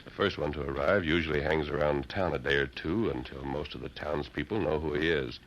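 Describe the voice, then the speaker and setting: An actor playing a calm, wryly amused western hero, articulate and measured, on a dull, noisy old radio recording. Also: male voice, rumbling low.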